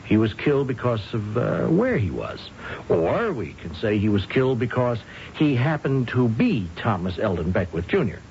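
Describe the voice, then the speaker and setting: An actor playing a patient, methodical police lieutenant who questions people politely and hesitantly in an old radio drama. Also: male voice, fairly low pitch, sing-song, moderate pace, a little mellow. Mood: patient, measured. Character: unhurried, probing male police lieutenant questioning a suspect. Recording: noisy, muffled old radio recording.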